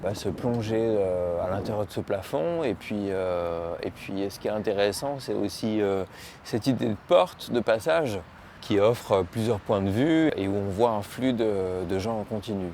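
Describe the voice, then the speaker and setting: A man's voice: fairly low pitch, moderate pace, mellow, slight background noise. A man from Lyon, France, a painter talking about his own work in an interview, hesitant and often searching for words. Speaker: muralist painter.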